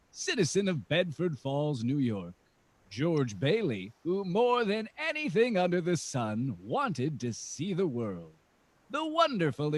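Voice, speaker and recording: A man's voice: on the high side, full character voice. A man playing a grand radio drama host, delivering scripted old-time narration. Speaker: announcer and narrator. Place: remote video call recording.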